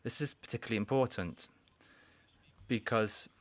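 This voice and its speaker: A man giving a formal welcoming speech at a conference, measured and deliberate, with pauses and restarts. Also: medium pitch. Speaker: conference speaker. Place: conference hall.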